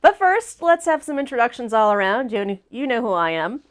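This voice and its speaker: A woman's voice: fairly high pitch, very animated, fairly quick. A woman hosting a casual livestream chat, sounding a bit sniffly and congested, talking in a chatty, upbeat way. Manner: chatty and upbeat, laughs easily. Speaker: host.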